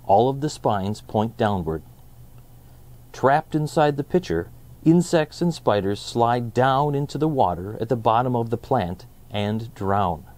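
A man in his thirties hosting a children's read-aloud, presenting nonfiction in a deliberate, emphatic storytelling way. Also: mid-range voice, animated, darkish tone.

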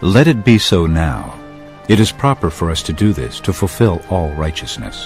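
An actor playing a calm, resolute holy teacher in a dramatised audio Bible, measured and firm. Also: male voice, low voice, soft background music.

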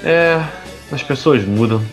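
A man plays an evasive young playboy with troubles, in an audio drama. His mid-pitched voice swings widely, and music plays clearly behind it. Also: lively intonation, takes it slowly. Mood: evasive, affectionate. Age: young adult. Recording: music under the voice.

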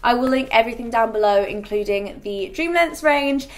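A woman's voice: a little high, moderate pace. A young woman, chatting casually in a get-ready-with-me vlog and filling her talk with "like". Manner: chatty, filler-heavy with frequent "like". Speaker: beauty vlogger. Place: bright living room set.

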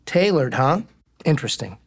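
A man's voice: medium pitch, very animated, normal speed, creaky. An actor playing a cocky, teasing male pro baseball player in a romantic comedy audio drama. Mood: flirtatious, playful.

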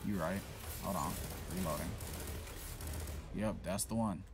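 Gravelly low-voiced man commenting on gameplay. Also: unhurried. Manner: swears freely, reacts loudly to in-game events.

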